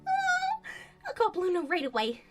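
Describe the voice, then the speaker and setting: A woman playing a fretful, overprotective female pony guardian in an animated drama. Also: extremely high pitch, measured pace, soft background music, full character voice. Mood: eager.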